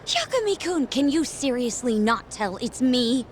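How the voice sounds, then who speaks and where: A woman's voice: high voice, big pitch swings, measured pace, a little hiss or hum. Secretive, insistent young woman. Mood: exasperated, businesslike. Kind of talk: English game dub cutscene acting. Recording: music behind the dialogue.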